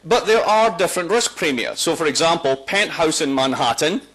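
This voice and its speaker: A man from Scotland with a Scottish accent, giving a public lecture with a wry, joking, lively delivery and a big voice. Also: fairly high pitch, moderate pace, rough recording. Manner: strong voice, walks away from the microphone. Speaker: guest lecturer.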